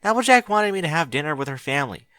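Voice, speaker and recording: A man's voice: big pitch swings, quick pace, sharp tone, clear, resonant tone, dry recording, studio quality. A man voicing a bookish, cheerful young female pony mage in a raised, chatty voice. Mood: eager. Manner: eager, chatty, higher-pitched. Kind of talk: audiobook character voice.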